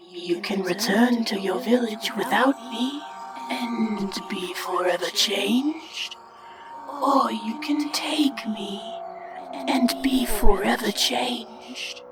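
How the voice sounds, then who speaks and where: A woman voicing an ancient, calm, otherworldly bog entity in a measured, breathy whisper for a fantasy story. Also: fairly high pitch, recorded from a distance. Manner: measured, detached, cryptic. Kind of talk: audiobook character acting.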